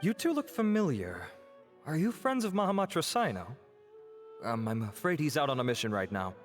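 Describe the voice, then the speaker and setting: A courteous academy official. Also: male voice, a little high, big pitch swings, moderate pace. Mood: polite. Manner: polite, soothing, formal. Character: courteous young male academy official, calm. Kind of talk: video game voice acting. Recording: game audio under music.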